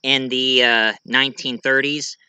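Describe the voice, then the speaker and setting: An American man giving a casual show-and-tell monologue, rambling and halting as he corrects himself along the way. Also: mid-range voice, flat delivery, bright, forceful tone, clear, resonant tone, no echo. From United States. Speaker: amateur reviewer and story writer presenting own work.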